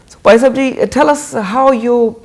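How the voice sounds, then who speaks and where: A man's voice: very high-pitched, brisk. A man hosting a TV interview, eagerly affirming and speaking with many fillers. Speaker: TV interviewer, host.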